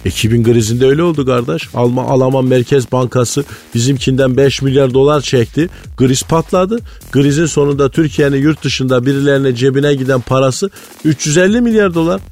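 A man's voice: medium pitch, brisk, darkish tone, natural voice. A man playing a pious, conspiracy-minded provincial economy guru in radio comedy, earnest and rambling, with a Malatya accent from eastern Anatolia. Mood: conspiratorial, insistent. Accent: Malatya, eastern Anatolian Turkish. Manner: folksy, earnest, rambling anecdotes. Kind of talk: comic radio character monologue. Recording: studio voice over background music.

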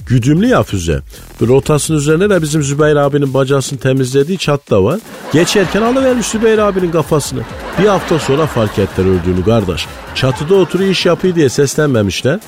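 A man's voice: fairly high pitch, very animated, fairly quick, a little mellow. A man playing a pious, conspiracy-minded provincial economy guru in radio comedy, earnest and rambling, with a Malatya accent from eastern Anatolia. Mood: deadpan storytelling. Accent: Malatya, eastern Anatolian Turkish. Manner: folksy, earnest, rambling anecdotes. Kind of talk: comic radio character monologue. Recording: studio voice over background music.

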